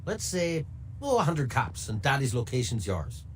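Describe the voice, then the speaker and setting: An actor playing a sly, mercenary middle-aged information dealer in a video game, with a wheedling, sardonic delivery. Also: male voice, medium pitch, very animated, moderate pace, a little hiss or hum, natural voice. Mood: sly bargaining.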